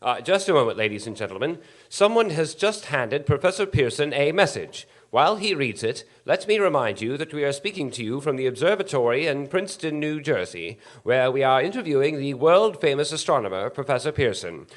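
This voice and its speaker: A man's voice: medium pitch, big pitch swings, a little fast, hardly any pauses, close to an everyday voice. An actor playing an earnest, eager male radio news commentator in a 1930s radio drama, with a formal, polite announcer delivery. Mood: formal, composed. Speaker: stage actor in a radio play.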